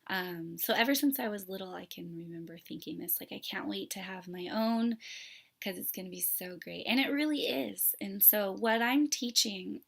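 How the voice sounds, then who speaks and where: A woman parenting instructor, hoarse from a sore throat, talking earnestly to camera with many pauses and fillers. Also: medium pitch. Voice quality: raspy. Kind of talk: casual talk to camera.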